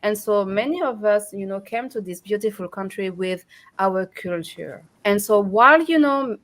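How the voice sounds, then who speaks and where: A woman's voice: mid-range voice, normal speed. A woman hosting a podcast panel, posing questions to her guests. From immigrant to Canada. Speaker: podcast host. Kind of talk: moderated panel interview.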